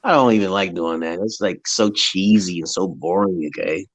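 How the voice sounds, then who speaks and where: A man with a deep voice in casual, opinionated chat, talking in a loose, slangy way and laughing often. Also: normal speed. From United States. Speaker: conversation partner in an English chat.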